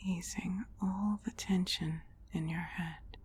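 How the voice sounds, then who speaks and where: Woman doing whispery asmr meditation, breathy n soothing, really slow, bit of background noise. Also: on the low side, fairly even intonation. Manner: slow, soothing, deliberate phrasing with long pauses. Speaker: guided meditation narrator. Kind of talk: whispered guided relaxation, ASMR-like scripted narration.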